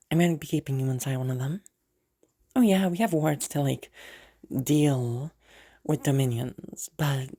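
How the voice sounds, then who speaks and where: Woman as a husky, cocky, weary mage. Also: very deep voice, mellow, exaggerated voice. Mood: tired, casual. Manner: casual, rambling, teasing asides. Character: confident, powerful, weary mage rescuer, casually boastful. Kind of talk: improvised-sounding audio roleplay, chatting to the listener.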